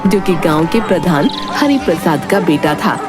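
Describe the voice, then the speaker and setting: A woman's voice: fairly low pitch, expressive, moderate pace, over music. A woman narrating a scripted storybook tale as its narrator.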